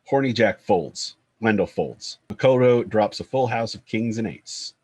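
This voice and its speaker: A man's voice: lowish voice, a little slow, darkish tone, slightly rough. A man giving scripted narration, reading out the scene directions of a screenplay at a table read.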